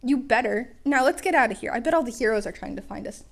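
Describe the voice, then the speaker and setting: A sarcastic, grumpy teenage boy from a story, read by a woman. He grumbles drily at his friend in a slightly high voice, always exasperated. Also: lively intonation, quick pace.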